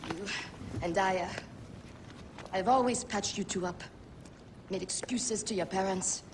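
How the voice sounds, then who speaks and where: A woman playing a caring, gently bossy healer of ancient Egypt in a video game, fussing tenderly over an old friend. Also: medium pitch, a little slow, a little hiss or hum, natural voice. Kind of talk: video game cutscene acting.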